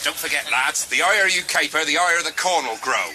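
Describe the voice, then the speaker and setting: An actor playing a plain-spoken English army corporal who morris dances, in a radio play. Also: male voice, a little high, animated, fairly quick, sharp tone, slight background noise. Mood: cheerful announcing.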